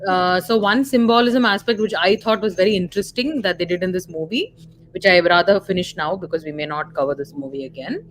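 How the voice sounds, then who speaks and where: A woman's voice: on the low side, fairly quick, a little hiss or hum. A woman with an Indian accent co-hosting a casual film discussion, explaining and clarifying points as she goes. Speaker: live stream co-host.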